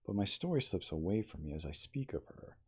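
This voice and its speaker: Low husky guy doing an asmr story reading, formal n theatrical, dark mellow tone, swoopy intonation. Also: very animated, fairly quick. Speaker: audiobook-style reader. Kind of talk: dramatic literary reading aloud, ASMR.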